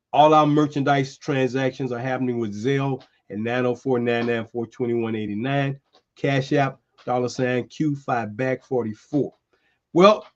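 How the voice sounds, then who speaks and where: A man hosting a streamed show, chatting loosely and calling people "man" as he pitches merchandise. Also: darkish tone, muffled recording. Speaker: host. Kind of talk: casual chat and merchandise pitch. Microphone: streaming setup.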